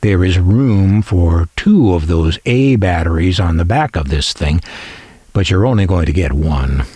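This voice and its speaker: Male narrator, slow-paced and expressive, wry and folksy, close dry sound. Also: low voice, husky, dry recording. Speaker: collector and narrator. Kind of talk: scripted narration.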